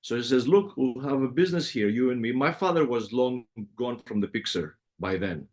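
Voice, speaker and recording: A middle-aged man with a heavy Greek accent, telling his life story in a relaxed interview, full of fillers and restarts. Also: medium pitch, normal speed. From Greece. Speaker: music producer guest. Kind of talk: casual interview conversation.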